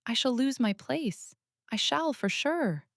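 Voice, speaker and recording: A woman's voice: sits high, animated, slow pace, no room sound, studio quality, voice pushed up, full character voice. A woman voicing a flustered young Yorkshire housemaid who exclaims and frets in dialect, in a storybook reading. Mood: alarmed, fretful. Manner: exclaiming, dialect speech, agitated.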